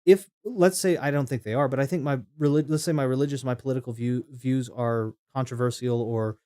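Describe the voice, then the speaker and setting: A man's voice: mid-range voice, steady tone, brisk, dark tone. A man streaming a game, talking casually and rambling through opinions, with frequent restarts and chat comments read aloud.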